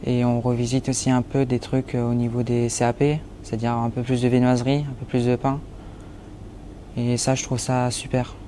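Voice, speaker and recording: Young man, nineteen, apprentice baker; mellow mid-pitched voice, halting with fillers; faint ambience. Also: completely flat delivery, slight background noise. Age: young adult. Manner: hesitant, many fillers. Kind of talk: interview portrait.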